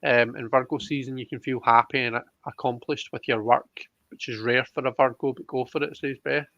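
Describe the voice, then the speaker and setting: A man's voice: medium pitch, steady tone, brisk, fairly bright tone, no room sound, highs cut off. A man hosting a casual live card reading, chatting with a guest and viewers and thinking aloud in a hesitant, repetitive way.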